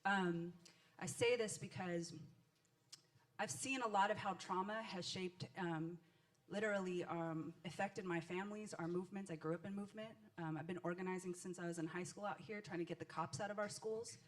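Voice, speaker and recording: A woman's voice: lowish voice, fairly even intonation, moderate pace. An American woman in her thirties or forties asking a reflective, personal question in an earnest, searching, hesitant way. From Los Angeles, United States. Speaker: community organizer asking an audience question.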